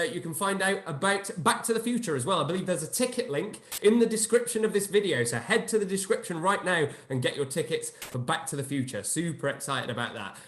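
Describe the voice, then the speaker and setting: A man with a high voice hosts a live online Q&A over a video call. He sounds upbeat, laughs often and leans on fillers. His call audio is roomy. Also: a bit bright. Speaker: host.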